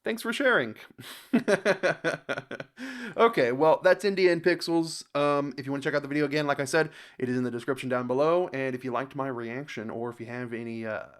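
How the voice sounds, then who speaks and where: A man with an American accent hosting a reaction video in casual commentary, a bit halting and stumbling over words as he reads aloud. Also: medium pitch, steady tone, smooth. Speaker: host of a reaction channel.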